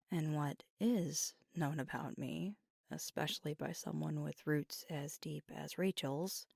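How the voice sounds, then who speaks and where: Woman acting a breathy, hushed werewolf girlfriend. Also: deep voice, no room sound, clean studio sound, full character voice. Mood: reflective, candid. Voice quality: breathy, often near a whisper. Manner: halting, hushed, confessional, with pauses. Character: fiery werewolf girlfriend, weary, guarded, confiding tenderly. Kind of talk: intimate ASMR audio roleplay. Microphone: close microphone, dry.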